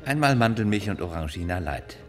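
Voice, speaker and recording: An actor playing a formal, deferential waiter in a German radio drama, stiffly polite in manner. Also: male voice, deep voice, very animated, a little fast, over music. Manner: stiffly polite, echoes orders.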